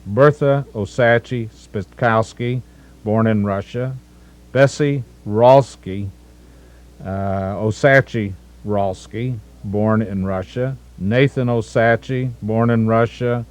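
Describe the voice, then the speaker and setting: Slow, low-voiced older man narrating. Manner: methodical listing of names, pausing and correcting himself. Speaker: family historian narrating home movies. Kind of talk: unhurried narration of family history.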